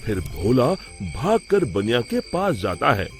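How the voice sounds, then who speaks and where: A man's voice: medium pitch, sing-song, slow. A man narrating a scripted moral story as a storyteller. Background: background music.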